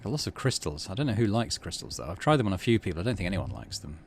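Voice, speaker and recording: A man's voice: deep voice, very animated, racing, a little hiss or hum. A man doing casual game commentary, thinking aloud and reading game text out as the host of a gaming channel.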